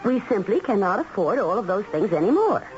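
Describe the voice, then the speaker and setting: An actress playing a cutting middle-aged society wife in a 1970s radio drama, pointed, polished and primly sarcastic. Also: female voice, medium pitch, big pitch swings, fairly quick, natural voice. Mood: cool, cutting. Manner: pointed, polished, falsely sweet on social calls. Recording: muffled old radio broadcast, faint music.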